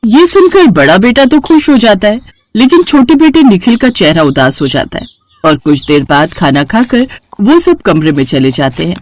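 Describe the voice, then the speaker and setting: A woman's voice: medium pitch, sing-song, normal speed, darkish tone. A woman narrating a scripted children's bedtime story.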